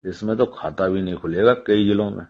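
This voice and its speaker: A man with a fairly low, husky voice talks informally over the phone. His manner is colloquial and confiding. The recorded call sounds dull and muffled. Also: fairly quick. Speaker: government employee on election duty.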